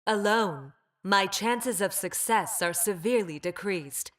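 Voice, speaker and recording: A woman's voice: medium pitch, unhurried, a bit bright, natural voice. A woman playing a clinical, detached female android scientist in a video game, speaking with formal precision and ruthless logic. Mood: calm, calculating. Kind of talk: video game character voice lines.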